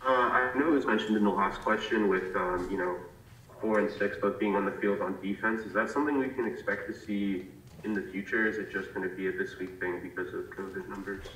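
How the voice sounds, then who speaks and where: Low-voiced reporter in an echoey room. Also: male voice, steady tone, a little fast, fairly bright tone, recorded from a distance, slight background noise. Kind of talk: press conference question.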